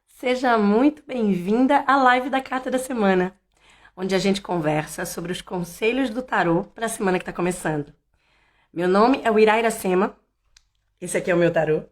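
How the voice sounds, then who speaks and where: A woman from Salvador, hoarse, hosting a casual tarot live stream in a chatty, meandering way with many fillers and restarts. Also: medium pitch, moderate pace. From Salvador, Bahia, Brazil.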